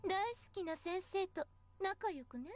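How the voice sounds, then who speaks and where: A woman's voice: very high-pitched, expressive, normal speed, faint music behind. A woman voice actor playing a haughty, scornful teenage schoolgirl in a Japanese visual novel, mocking and condescending. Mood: smug, taunting.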